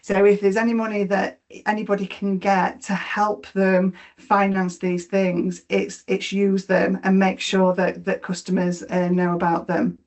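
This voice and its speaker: A woman's voice: mid-range voice, level tone, a little slow, few pauses, a little mellow. A middle-aged woman with a Yorkshire accent, presenting a webinar on mortgage rewards, explaining each slide with frequent fillers and repeated words. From Yorkshire, England.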